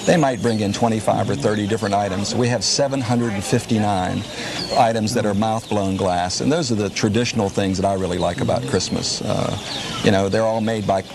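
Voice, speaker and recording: A middle-aged man speaks in a chatty, informal way, like a shop owner being interviewed. His voice is on the low side with plenty of fry, and he moves along quickly. It is recorded on location inside a store. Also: fairly even intonation. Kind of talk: casual interview talk. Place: inside a shopping center store. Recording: clearly noisy location recording, busy ambience.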